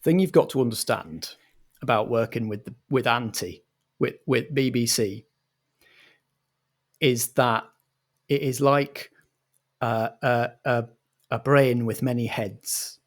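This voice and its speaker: A man playing a pompous, earnest auteur director, husky and halting, in a mock documentary interview. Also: medium pitch. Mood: portentous. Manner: halting, portentous, repeats words.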